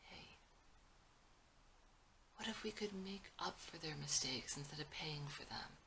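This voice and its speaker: A woman's voice: low voice, animated, a little slow, gravelly, bright, forceful tone, slight background noise, heavily acted. A woman voicing an earnest, idealistic and insistent young dreamer in a dramatic script reading. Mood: earnest, hopeful.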